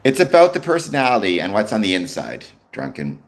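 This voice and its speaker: A young man with a Canadian accent talks casually to his live-stream viewers. His voice sits deep and low, yet his pitch swings about freely. He fills the pauses with ums as he answers comments from the chat. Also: wildly swooping pitch. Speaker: livestream host.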